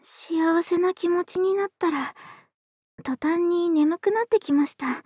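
Very high, tender actress as affectionate neighbor woman. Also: unhurried, no room sound, clean studio sound. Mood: shy, tender, intimate. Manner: soft, gentle, polite, intimate murmuring with breathy whispers. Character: affectionate, polite young adult woman next door, tipsy and doting. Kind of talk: ASMR situation voice drama. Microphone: close dry studio microphone.

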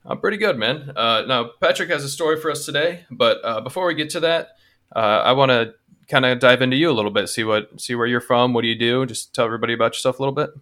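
A man's voice: medium pitch, quick pace, pushed and bright. A man hosting a podcast, casually interviewing a guest and encouraging him with eager replies. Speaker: podcast host.

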